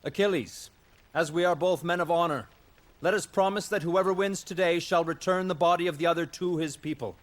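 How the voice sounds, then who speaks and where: Man playing a high-voiced, honorable warrior prince. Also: a little fast, lightly acted. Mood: solemn appeal. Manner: formal, declamatory. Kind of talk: dramatic game cutscene acting.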